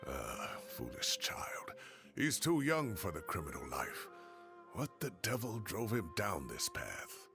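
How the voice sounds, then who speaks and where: Fussy, animated old professor. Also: male voice, lowish voice, takes it slowly, gravelly, over music, full character voice. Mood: dismayed. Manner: exclamatory, old-fashioned turns of phrase. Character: elderly retired male professor, fussy, indignant, theatrical. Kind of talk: video game voice acting.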